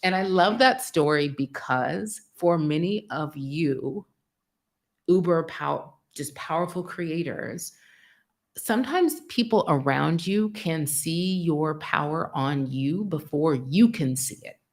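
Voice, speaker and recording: A middle-aged American woman giving a live spiritual sermon, frank and profane, acting out dialogue in an animated way. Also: low voice, slow. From United States. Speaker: spiritual teacher.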